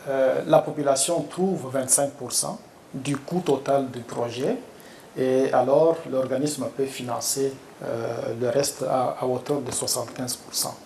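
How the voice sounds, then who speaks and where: A middle-aged man with a Congolese French accent speaks in a warm, mellow voice, pausing often as he searches for words. The room around him is echoey, and a faint hum sits under his voice. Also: mid-range voice. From Democratic Republic of the Congo. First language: Tshiluba. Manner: slow, halting explanations with many hesitations. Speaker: parish priest. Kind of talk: interview.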